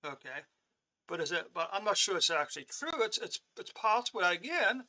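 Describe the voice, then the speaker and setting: A man teaching a live-streamed Bible lesson, talking haltingly with many restarts and clearing his throat, often checking in with "okay?". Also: sits high, very animated, pushed and bright. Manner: reads from on-screen notes and explains them.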